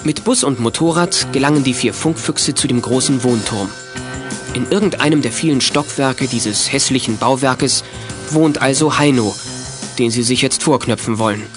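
A man's voice: medium pitch, normal speed. A man narrating a children's radio play in a scripted storytelling style. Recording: music behind the narration.

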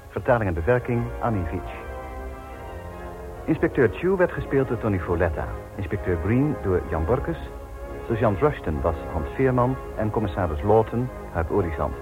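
A male radio announcer reads scripted credits in a very varied, slightly low voice. Music plays clearly behind him. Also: sing-song, moderate pace, low-quality recording.

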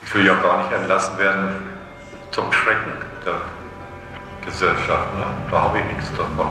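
A man talks informally and matter-of-factly, ending phrases with tag questions. His very low voice is heard from far off in a strongly echoing space, with music behind. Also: steady tone, moderate pace. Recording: very echoey, distant mic, music behind.

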